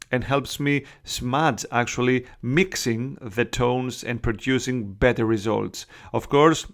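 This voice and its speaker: A man from Greece with a Greek accent, a non-native English speaker, hosting a casual software tutorial, chatty and full of "uh" and "you know" fillers. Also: medium pitch, creaky. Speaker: Photoshop tutorial host. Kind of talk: casual screen-recorded tutorial.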